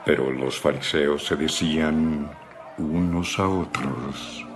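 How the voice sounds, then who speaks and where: A man's voice: a bass voice, fairly even intonation, slow, music in the background. A man narrating a dramatized audio Bible from a script.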